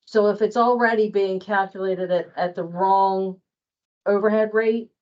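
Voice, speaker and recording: A woman's voice: mid-range voice, fairly even intonation, unhurried, muffled recording. A woman giving an informal spoken explanation as presenter at a staff training.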